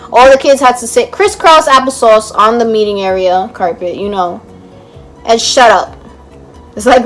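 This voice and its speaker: A woman's voice: a little high, poor audio. Chatty young woman with a cracking voice. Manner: chatty storytelling, laughs at own jokes. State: losing her voice, cracking. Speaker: vlogger. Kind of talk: casual chat to camera.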